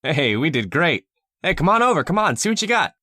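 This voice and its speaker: Actor as moody outpost partner, dry close sound. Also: male voice, on the high side, big pitch swings, fairly quick, sharp tone, no room sound, heavily acted. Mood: excited. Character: moody, homesick, touchy male outpost partner. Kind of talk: old-time radio drama acting.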